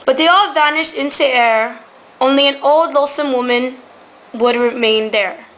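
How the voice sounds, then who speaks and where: A woman's voice: sits high, measured pace, fairly bright tone, poor audio. A young woman reading scripted storytelling narration for a student puppet show. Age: teenager. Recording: amateur recording with faint noise.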